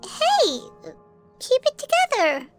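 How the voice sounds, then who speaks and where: An actor voicing a tiny childlike floating sprite in a video game, cheeky, squeaky and excitable. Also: takes it slowly, heavily acted. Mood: startled, urging. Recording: loud music under the voice. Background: game music.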